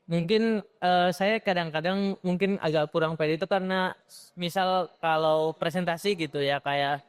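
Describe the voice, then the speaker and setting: A man's voice: high voice, moderate pace, clear, resonant tone. A young man with a Javanese accent, a student answering interview questions in a hesitant, casual way with many fillers. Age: young adult.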